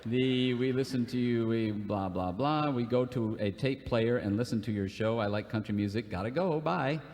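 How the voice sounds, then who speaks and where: A man's voice: medium pitch, normal speed, darkish tone, in a large hall, slight background noise. A man reading letters aloud and bantering in a folksy, joking way on a live comedy radio show. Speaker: radio show performer and singer.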